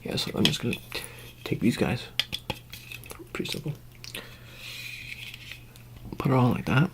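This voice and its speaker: A man speaking softly in an ASMR roleplay, halting and casual, often slipping into a whisper. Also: big pitch swings, noisy background. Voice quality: soft, breathy, often dropping into whisper. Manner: halting, casual, low-key official patter. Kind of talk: ASMR roleplay, soft-spoken, improvised.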